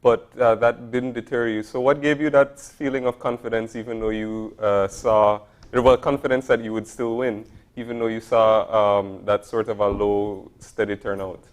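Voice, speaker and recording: A man's voice: on the low side, level tone, moderate pace. A man hosting a morning TV show, interviewing a guest in a friendly way with many fillers. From Belize.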